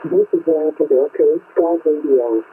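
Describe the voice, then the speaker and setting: A man reading a scripted marine bulletin as a coast guard radio operator in a measured, formal manner, heard over shortwave radio. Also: on the high side. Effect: shortwave radio transmission. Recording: tinny narrowband shortwave radio with static noise.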